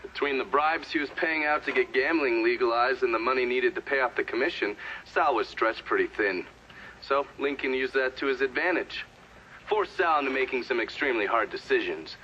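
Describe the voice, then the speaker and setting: A man's voice: mid-range voice, brisk, sharp tone, natural voice. A man playing a witness giving testimony in a game cutscene, candid, explanatory and matter-of-fact. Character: candid witness testifying about a crime war. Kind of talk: testimony in cinematic game acting. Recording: dull, band-limited sound, faint noise.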